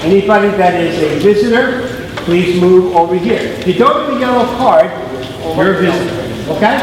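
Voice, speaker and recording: A man's voice: sits high, moderate pace. Man, meeting moderator, firm and plainspoken, distant, noisy hall recording. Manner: firm, plainspoken, giving instructions to a crowd. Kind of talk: running a public town meeting. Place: large auditorium. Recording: echoey hall, mic far off, noisy.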